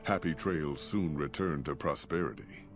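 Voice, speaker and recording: A man narrating a game epilogue in a steady, storytelling way, over game music. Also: rumbling low, normal speed.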